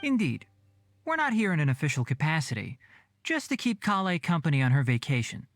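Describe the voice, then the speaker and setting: An actor playing a clever young male forest scholar in a game cutscene, brisk, knowledgeable and dry. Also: fairly high pitch, very animated, a bit bright, no echo, soft background music.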